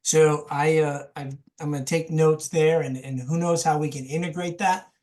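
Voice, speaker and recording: A man's voice: on the high side, steady tone, normal speed, darkish tone. Enthusiastic man hosting a video call. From Connecticut. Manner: enthusiastic, repeats phrases. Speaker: host. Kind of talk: casual video call discussion.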